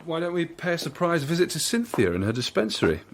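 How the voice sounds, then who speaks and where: Radio drama: young English gent, casually curious, English accent, varied intonation. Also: male voice, fairly high pitch, big pitch swings, fast, slight background noise.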